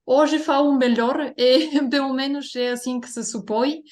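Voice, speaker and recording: A woman's voice: high-pitched, steady tone, unhurried, darkish tone. Young Bulgarian woman, careful interviewer. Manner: careful, formal, read-out phrasing. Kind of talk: prepared interview introduction.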